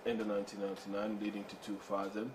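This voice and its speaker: Low-voiced Congolese man, hesitant. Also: monotone, rapid-fire. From Kinshasa, Congo. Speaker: interview guest, entrepreneur. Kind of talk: conversational personal storytelling. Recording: background noise, room ambience.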